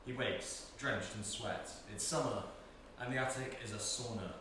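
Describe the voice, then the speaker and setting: A man's voice: medium pitch, normal speed, recorded from a distance, background noise. A man reading stage directions as scripted narration, recorded in an echoey room away from the mic.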